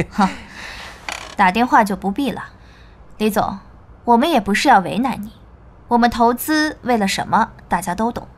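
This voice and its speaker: A woman's voice: a little high, lively intonation, moderate pace, pauses often. A woman playing a skeptical, shrewd shareholder in an audio drama, pointed and businesslike. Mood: satisfied. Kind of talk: Chinese audio drama acting.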